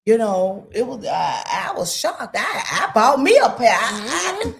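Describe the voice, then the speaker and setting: A woman with a deep voice, talking emphatically and preachily as a guest on a casual panel show. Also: very animated, measured pace, gravelly. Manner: emphatic, preachy, talks straight to women. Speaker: podcast guest.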